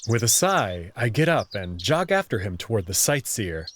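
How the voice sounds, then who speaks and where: Lively male narrator over faint birdsong. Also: lowish voice, moderate pace, a little hiss or hum. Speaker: narrator and protagonist. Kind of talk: first-person visual novel narration and dialogue.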